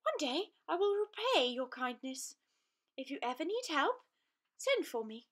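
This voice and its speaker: A woman voicing a small, timid and kindly female mouse in a children's storybook, gentle and earnest. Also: very animated, measured pace, full character voice. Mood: grateful, earnest. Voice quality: small high voice. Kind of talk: children's storybook read-aloud.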